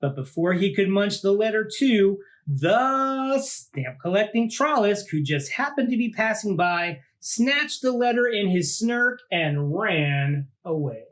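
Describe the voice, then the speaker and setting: A man reading a children's picture book aloud like a teacher, with deliberate dramatic phrasing and drawn-out words. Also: high voice, big pitch swings. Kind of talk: animated storybook read-aloud for children.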